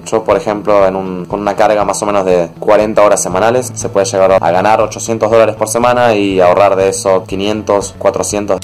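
A man's voice: fairly low pitch, level tone, fairly quick, hardly any pauses, darkish tone, faint music behind. A man, talking casually to camera about working abroad. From Argentina. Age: 20s. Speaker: working holiday traveler. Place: indoors, small room.

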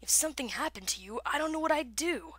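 A woman's voice: high-pitched, lively intonation, quick pace, fairly bright tone, dry recording, pitched above the performer's own voice. Woman playing stern, protective lion father. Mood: worried, tender. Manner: earnest, firm, commanding.

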